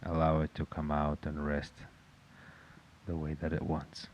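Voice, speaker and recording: A man with a very deep voice guides a meditation. He gives calm, measured instructions at a very slow pace, in a notably even tone that barely rises or falls. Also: highs cut off, a little hiss or hum.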